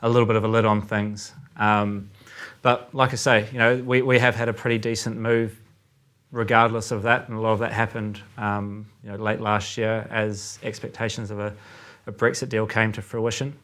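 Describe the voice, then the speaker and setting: A man with a New Zealand accent, a trader discussing currency markets as a podcast guest in a measured, thinking-aloud way. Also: fairly low pitch, level tone, fairly bright tone.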